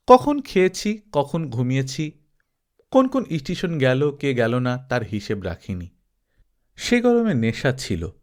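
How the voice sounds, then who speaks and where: A man narrates literary travel writing in a medium-pitched voice. His delivery is expressive and theatrical, shaped like practised audiobook storytelling.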